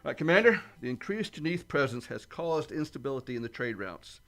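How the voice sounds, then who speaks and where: A man playing a steady starship captain in a sci-fi radio play, speaking with formal military authority. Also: mid-range voice, brisk. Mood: grave.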